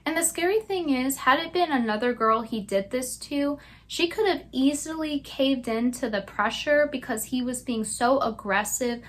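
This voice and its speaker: A woman's voice: sits high, lively intonation, normal speed, few pauses, fairly bright tone. A woman delivering scripted commentary as a YouTube commentator.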